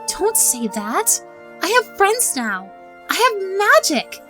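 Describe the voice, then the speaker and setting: A woman's voice: extremely high pitch, sing-song, slow, bright, forceful tone, soft background music, heavily acted. A woman voicing a sweet, grateful young unicorn filly, earnest and childlike, in a fan audio drama.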